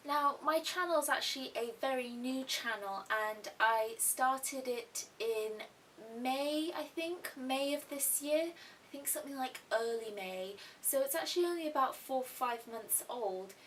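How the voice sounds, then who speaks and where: A woman's voice: sits high, a little slow, a bit bright. A young woman who grew up in Thailand, hosting a casual art-channel question and answer vlog in a chatty way, with asides and restarts. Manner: chatty, repeats herself, little asides. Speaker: YouTube art channel host. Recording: faint hiss, mic a little distant.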